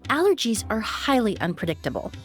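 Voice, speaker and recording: A woman playing a poised, polite young perfumer in an anime video game, measured, courteous and explanatory. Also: on the high side, sing-song. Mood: modest, measured. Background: game music.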